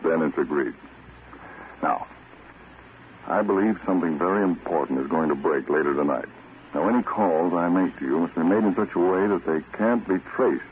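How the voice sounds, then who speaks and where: A man's voice: deep voice, natural voice. A man playing a terse, authoritative counter-spy chief in a 1940s radio drama. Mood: grave, businesslike. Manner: terse, authoritative, deliberate instructions. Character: commanding male American counter-spy chief, brisk and secretive. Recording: tinny, noisy vintage radio recording.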